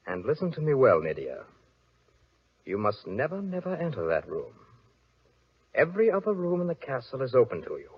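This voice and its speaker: A menacing, mysterious castle lord from a fairy tale on old-time radio. His delivery is theatrical and commanding, with big melodic swings. The voice is husky, on a dull, lo-fi vintage recording. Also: mid-range voice, wildly swooping pitch, moderate pace, pauses often, full character voice. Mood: menacing warning. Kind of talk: 1940s radio acting.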